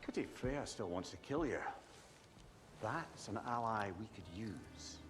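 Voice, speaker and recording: Deep-voiced, wry companion. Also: male voice, expressive, a little slow, music in the background. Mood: frank, reflective. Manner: frank, wry, reflective. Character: wise, wry, candid companion advising his brooding brother. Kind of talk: dramatic video game acting.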